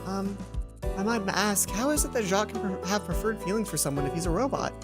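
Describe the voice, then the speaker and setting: A let's play host reading a visual novel aloud in an expressive storytelling way, with asides. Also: mid-range voice, fairly quick, over music.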